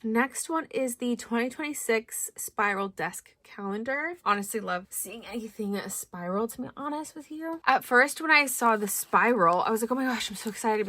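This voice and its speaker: A young woman chatting casually through a stationery unboxing, thinking aloud with lots of likes and ums, her voice a little hoarse. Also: mid-range voice, bright, forceful tone. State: slightly hoarse. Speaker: planner vlogger.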